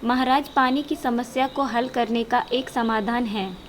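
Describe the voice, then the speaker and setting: Woman as a clever fox with a higher voice. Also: fairly even intonation, measured pace, slight background noise, natural voice. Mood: earnest, advising. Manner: respectful, explanatory. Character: clever, helpful female fox advising the king. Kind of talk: children's cartoon story dialogue.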